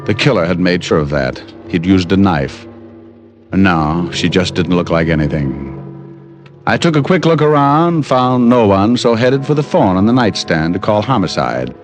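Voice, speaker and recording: Wisecracking radio private eye. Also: male voice, low-pitched, sing-song, normal speed. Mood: wry. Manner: wry hard-boiled narration full of wisecracks. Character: wisecracking tough male private eye, dazed. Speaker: private detective narrator. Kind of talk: 1940s radio drama acting. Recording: old radio broadcast with music.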